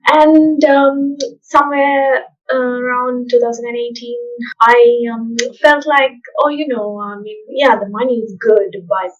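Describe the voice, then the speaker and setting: A woman from Sri Lanka, a podcast guest telling her story in a thoughtful, soft-spoken way with fillers. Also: fairly high pitch, fairly even intonation, slow, muffled recording. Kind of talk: casual interview chat.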